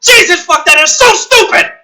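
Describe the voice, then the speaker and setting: A man with a very bright, forceful voice delivers an exasperated commentary on a script. His pitch is lively and swings widely as he rants. He swears constantly and jumps from muttering to shouting. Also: moderate pace. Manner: heavily profane, exasperated; swings between muttered reading and shouted outbursts. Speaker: host of a gaming and film commentary channel. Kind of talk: ranting script read-through commentary.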